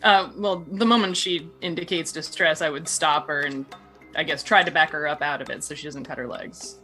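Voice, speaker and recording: A woman's voice: low voice, steady tone, normal speed, sharp tone. A woman chatting casually as a player in a tabletop role-playing game. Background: background music.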